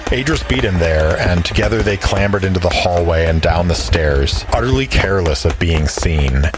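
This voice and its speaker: A male narrator with a low voice tells a scripted story in audio drama style. Music plays clearly beneath his words. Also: expressive, moderate pace. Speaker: narrator and player.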